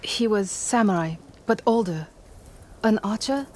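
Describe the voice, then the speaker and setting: A humble peasant woman, played by an actress in a game cutscene. She speaks softly and deferentially at a medium pitch, with faint ambience behind her. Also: expressive, a little slow, a little hiss or hum, close to an everyday voice. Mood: timid, helpful. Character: polite, soft-spoken peasant woman, humble and helpful. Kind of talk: video game cutscene acting.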